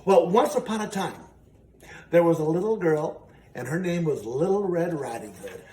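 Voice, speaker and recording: A man's voice: on the high side, expressive, normal speed, warm tone. A man hosting a children's storytime as a ventriloquist, narrating and explaining in a folksy, patient way. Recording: echoey room, distant mic, faint noise.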